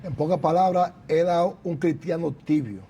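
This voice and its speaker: A pastor with a mild, mellow voice hosts a church testimony broadcast, speaking formally and in a preacherly way. Faint background noise sits under his voice. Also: a little high, normal speed. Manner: preacherly, formal, interjects praise. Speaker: pastor and program host. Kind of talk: church program interview. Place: church building.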